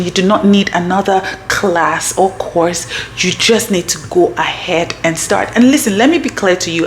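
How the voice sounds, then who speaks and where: A woman's voice: medium pitch, slight background noise. A woman in her thirties hosting a motivational business coaching talk, emphatic and direct, often checking in with "okay?". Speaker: business coach and channel host.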